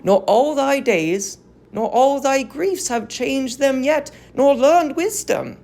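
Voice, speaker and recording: A woman acts out an aged fallen queen in a Greek tragedy. She laments with commanding sorrow, in a slow, raised voice with a creak in it. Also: high voice, expressive, darkish tone, voice pushed up, heavily acted. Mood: anguished lament. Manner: declamatory, lamenting. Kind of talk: classical tragedy dramatic reading.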